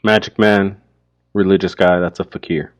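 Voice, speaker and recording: A man's voice: deep voice, steady tone, normal speed, no room sound. A man explaining a short story in an informal, colloquial teaching style, checking in with pointed questions.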